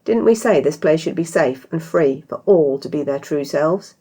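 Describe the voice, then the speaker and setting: A British woman voicing a cheerful, scheming female innkeeper in a storybook, bright and polite with feigned innocence. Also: sits low, lively intonation, moderate pace, breathy edge, full character voice. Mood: earnest.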